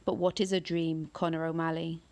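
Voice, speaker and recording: A woman reading aloud, voicing a huge ancient male tree monster with slow, booming declamation. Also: flat delivery, darkish tone.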